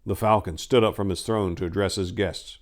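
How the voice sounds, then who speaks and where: A man's voice: deep voice, steady tone, a little fast. A man narrating a fantasy story as a dramatic reading, in a theatrical storytelling manner.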